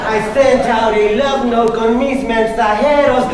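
Middle-aged male performance poet, loud playful rhyming delivery, slow and raised in pitch, live before an audience. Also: steady tone, a loud crowd. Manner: loud, playful declaiming with Spanish words mixed in. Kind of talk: live spoken-word poetry performance, rhymed and declaimed. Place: large meeting hall with audience. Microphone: stand microphone on stage. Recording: echoey hall, far mic, audience noise.

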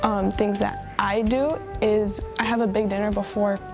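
A teenage girl of Polish heritage giving an earnest, slightly halting interview answer. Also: medium pitch, level tone, music in the background. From Poland heritage. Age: teens.

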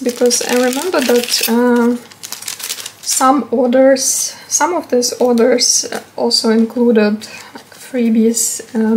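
High-voiced woman, slow casual unboxing talk. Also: darkish tone, slight background noise, rough recording. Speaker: stationery haul vlogger.

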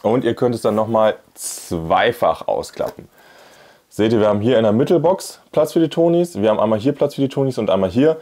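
Male vlog host, thirties, lowish voice, chatty and casual hands-on product demo to camera. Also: animated, brisk, dark tone. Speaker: YouTube host, product reviewer. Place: small indoor room with plain wall. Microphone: clip-on lavalier on shirt.

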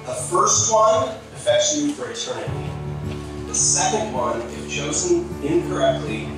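A man's voice: sits high, very animated, unhurried. Middle-aged man, father-of-the-bride speech, distant miking, music behind. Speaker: father of the bride giving a wedding toast. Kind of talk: heartfelt, humorous wedding speech. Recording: echoey distant PA with music over it.

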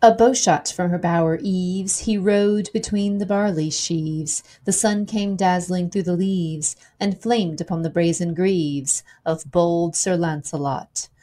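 A woman reading poetry aloud in a dramatic recitation, with measured, rhythmic phrasing that falls away at the ends of lines. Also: on the low side, steady tone, mellow. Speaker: poetry reader.

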